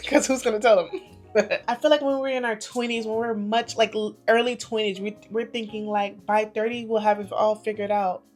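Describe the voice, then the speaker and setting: A woman in her thirties chatting casually to camera as a vlogger, playful and confiding, her loudness and pace rising and falling a lot. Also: a little high, steady tone, normal speed, soft background music. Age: 30s.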